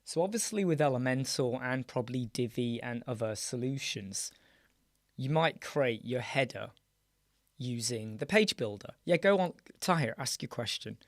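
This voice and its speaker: A hoarse, croaky British man hosting a casual live chat, greeting viewers by name and trailing off into restarts. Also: mid-range voice, lively intonation, moderate pace, no room sound, studio quality. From United Kingdom. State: hoarse, croaky, clearing his throat. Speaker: live chat show host. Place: small dark room with soundproof panels.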